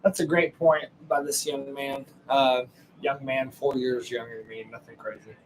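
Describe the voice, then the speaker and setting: A Texan man in his late twenties talks through a clip in loose, rambling commentary, blunt and quick to swear. He sounds tired from the late hour, and his speaking pitch sits in an ordinary middle range. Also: fairly even intonation, background noise. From Texas, USA. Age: late 20s. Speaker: podcast host reacting to a clip. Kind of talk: casual commentary.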